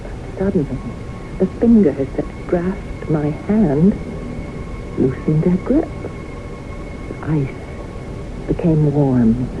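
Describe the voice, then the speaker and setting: An actress in an old-time radio drama playing a haunted widow who tells her story in a dramatic, deliberate, earnest way. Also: low voice, full character voice. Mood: haunted, intense. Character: haunted widow solemnly recounting her brushes with death. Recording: dull, hissy old radio broadcast.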